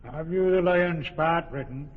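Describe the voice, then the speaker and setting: An actor playing a slow-witted, anxious joiner who pleads for his part, in a radio drama. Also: a little high. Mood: pleading. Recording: thin phone-like radio recording with hall reverb.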